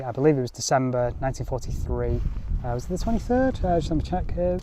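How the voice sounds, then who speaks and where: A young man speaks to camera from a mountainside, his voice pitched in an ordinary middle range. He rambles easily, filling gaps with ums and doubling back on his words, while a faint hiss and the odd click sit under the outdoor recording. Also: a little mellow. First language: English. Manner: rambling, lots of ums and restarts. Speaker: history vlogger. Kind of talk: casual history talk to camera.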